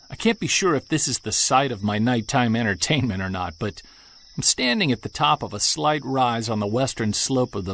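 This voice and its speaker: An actor playing an earnest, excitable Bigfoot researcher, musing to himself as he records a field log in an audio drama. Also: mid-range voice, gravelly, slight background noise. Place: outdoors in wilderness sound setting, handheld recorder.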